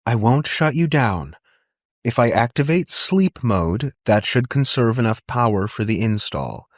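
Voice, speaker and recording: A man's voice: mid-range voice, animated, a little slow, no room sound, studio quality, voice pushed up. A man voicing a stressed woman scientist in an audio story, urgent and placating. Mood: anxious placating.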